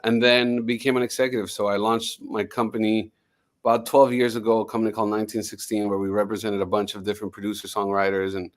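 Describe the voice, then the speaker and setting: A man's voice: fairly low pitch, little pitch movement, fast. A man who grew up in Miami, a music executive speaking confidently as a podcast guest, often restarting his sentences. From Miami, United States. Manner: fluent, confident, with frequent restarts. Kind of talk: podcast interview.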